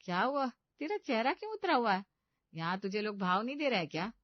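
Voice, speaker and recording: A woman's voice: a little high, very animated, a little fast, no echo, lo-fi sound. Bossy middle-aged mother, voiced by a woman, dry sound. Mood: coaxing, firm. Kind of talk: Hindi comedy cartoon story dubbing.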